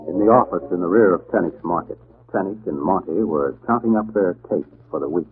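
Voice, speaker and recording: A man's voice: deep voice, brisk, soft background music. A man narrating a radio drama in formal, dramatic 1940s announcer style. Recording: muffled, noisy 1940s radio broadcast.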